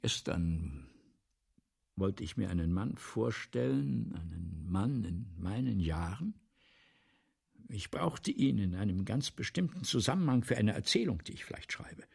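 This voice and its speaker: An actor in a radio play as a vain, anxious middle-aged playwright, obsessive, halting and theatrical, repeating himself. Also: male voice, lowish voice, expressive, creaky, darkish tone, breathy edge, full character voice. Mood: brooding, weary. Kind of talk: 1970s German radio play acting.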